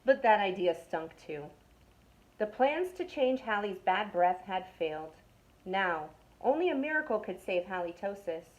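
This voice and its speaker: A young woman telling a children's story from a youth club. Her reading is expressive and storybook-paced. At suspenseful moments she sinks into a hushed whisper. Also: medium pitch, measured pace, low-quality recording. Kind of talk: reading a picture book aloud to children.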